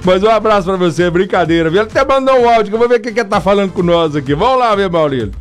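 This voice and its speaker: High-voiced jokey male radio host. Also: animated, racing, soft background music. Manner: jokey, chatty, laughs often. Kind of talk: morning radio shout-outs.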